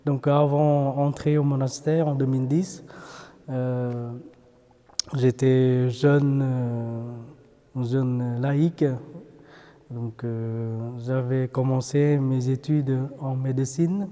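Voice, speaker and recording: Monk from Vietnam, halting and slow, very mellow tone, long reverb around him. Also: male voice, medium pitch, little pitch movement. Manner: hesitant, many fillers and restarts. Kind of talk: documentary interview.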